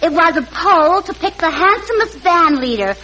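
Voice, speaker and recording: Tactless tavern owner's daughter, very high sharp voice, blunt delivery, vintage radio comedy with dull, slightly noisy sound. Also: female voice, animated, normal speed, full character voice. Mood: gushing, then blunt. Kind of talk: 1940s radio comedy acting.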